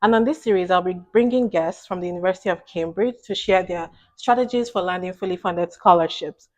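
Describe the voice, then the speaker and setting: A woman's voice: fairly low pitch, a little fast, darkish tone, dull audio. Upbeat woman host from nigeria, keeps nodding along w/ little mm-hmms. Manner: upbeat, frequent encouraging backchannels. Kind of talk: casual interview chat.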